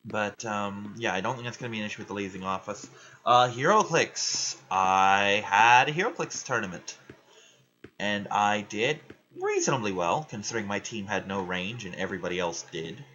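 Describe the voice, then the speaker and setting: Loud ranting man, bright lo-fi voice. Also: on the low side, normal speed, a little hiss or hum. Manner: loud, ranting, frequent swearing. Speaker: gaming vlogger. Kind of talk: casual rambling chat while playing a game.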